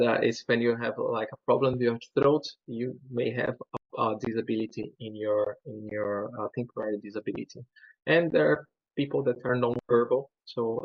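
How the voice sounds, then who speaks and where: Brazilian man presenting, halting, muffled. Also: medium pitch, no echo. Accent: Brazilian Portuguese. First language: Portuguese. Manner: halting, many fillers and restarts. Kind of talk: webinar presentation.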